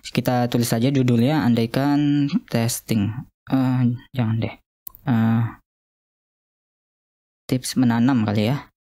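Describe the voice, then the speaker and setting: Young man, mellow voice, demoing software. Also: mid-range voice, steady tone, takes it slowly, long pauses. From Indonesia. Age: young adult. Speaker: student presenter of an app demo. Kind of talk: informal screen-demo walkthrough.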